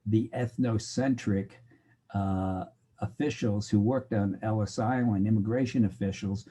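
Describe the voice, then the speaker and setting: An older man from the United States gives a sociology lecture in a loose, chatty way, wandering into asides as he goes. His voice sits low and sounds very dark and mellow, with a husky edge. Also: steady tone, measured pace. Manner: conversational, digressive, acts out quoted exchanges. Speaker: sociology instructor. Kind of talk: recorded lecture.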